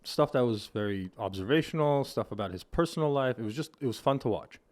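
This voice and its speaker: A man's voice: mid-range voice, lively intonation, brisk, darkish tone. A man in his thirties hosting a podcast from a home studio, talking in a thoughtful, rambling way with restarts. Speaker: podcast host. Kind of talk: casual interview chat. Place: home studio with hexagon wall panels. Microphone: boom-mounted studio mic with headphones.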